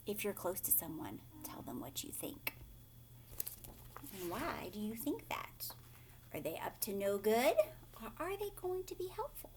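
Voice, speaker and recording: A woman teacher reading a storybook aloud to young children, dramatic and expressive, with hushed questioning asides. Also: mid-range voice, slight background noise.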